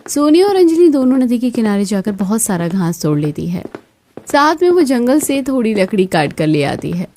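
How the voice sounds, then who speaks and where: A woman's voice: on the high side, sing-song, moderate pace, mellow, slight background noise. A woman reading scripted narration for a cartoon story.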